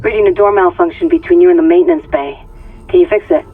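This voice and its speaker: Anxious spaceship tech over music. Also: female voice, lowish voice, quick pace, slightly rough, phone-quality sound. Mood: urgent. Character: sharp, anxious female technical specialist on a stricken spaceship. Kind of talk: dramatic video game acting.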